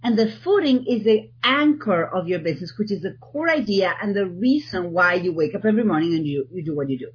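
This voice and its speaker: A woman from Argentina with an Argentine accent, a guest in an interview, talking in an animated way and often restarting her sentences. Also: mid-range voice, brisk, hardly any pauses, muffled recording, slight background noise. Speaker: guest, TEDx organizer. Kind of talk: podcast interview.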